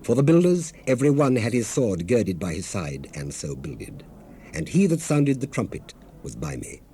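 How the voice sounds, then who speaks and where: On a faintly hissy 1970s cassette, an earnest male leader of scripture. His deep, dark-toned voice moves dramatically up and down as he narrates his people's struggle with solemn, oratorical devotion. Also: very animated, normal speed. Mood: solemn, measured.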